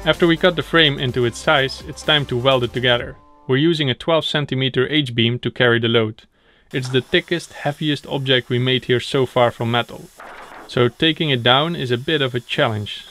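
A man's voice: mid-range voice, normal speed, bright, forceful tone, faint music behind. A young man hosting a renovation vlog, explaining the work in a plain, matter-of-fact way. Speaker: host of a renovation project vlog. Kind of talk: explanatory vlog talk and narration.

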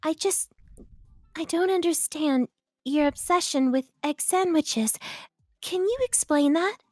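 A woman voicing a polite, gentle young female anime character with a soft, hesitant manner. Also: sits high, slow pace, dry recording, studio quality, pitched above the performer's own voice. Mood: gentle, puzzled. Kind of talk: improvised anime character skit.

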